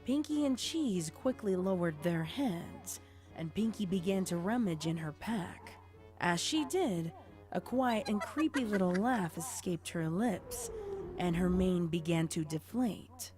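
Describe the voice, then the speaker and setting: Casting: female storyteller, lower register, narrating fiction over soft music. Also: lively intonation, measured pace.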